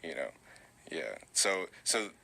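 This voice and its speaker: A young man, answering interview questions in a relaxed, rambling way with many fillers. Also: slow pace, vocal fry. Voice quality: low voice. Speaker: musician guest. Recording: tinny phone-like recording.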